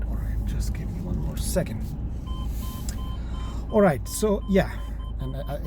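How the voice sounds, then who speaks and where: A man in his thirties from Pakistan, with a Pakistani English accent, talking casually about project management from inside his car, halting often and restarting his sentences. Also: fairly high pitch. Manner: halting, with many restarts and pauses. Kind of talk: casual talk to camera. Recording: car and traffic noise.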